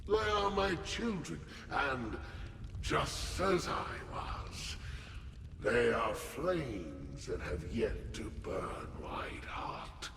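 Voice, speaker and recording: An actor playing a grave, fatalistic warrior devoted to destruction in a game cutscene, with a gravelly, breathy low voice and solemn, theatrical delivery. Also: male voice, sing-song, very slow, fairly bright tone, exaggerated voice. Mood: solemn, weary. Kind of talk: dramatic game cutscene acting. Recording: noisy, echoey, long reverb.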